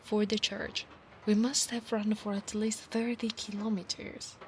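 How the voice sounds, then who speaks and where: A woman with an Italian accent voicing an affectionate, possessive centaur knight in a soft ASMR roleplay, often slipping into breathy whispers. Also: medium pitch, little pitch movement, a little slow, slight background noise, close to an everyday voice. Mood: tired, teasing. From Italy.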